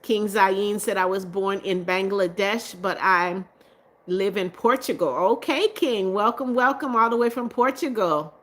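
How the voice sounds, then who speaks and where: A woman's voice: medium pitch, a little slow. A middle-aged woman hosting a casual livestream, reading out viewer comments and answering them. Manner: reads comments aloud and answers viewers warmly, laughs often. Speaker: livestream host.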